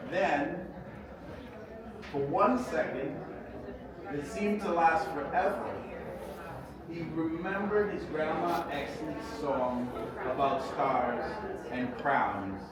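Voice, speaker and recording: A man with a fairly high voice reads a children's story aloud at a slow, deliberate pace. His delivery is expressive and theatrical, full of dramatic pauses. He sounds distant from the mic in a very echoey, noisy room. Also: crowd noise. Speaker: author reading own book. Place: echoey indoor event space.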